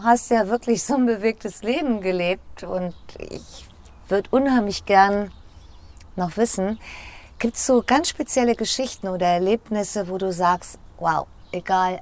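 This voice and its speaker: Woman, mid-pitch, friendly conversational interviewer, prompts her guest. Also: a little slow, a little mellow. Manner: leading questions, finishes his sentences.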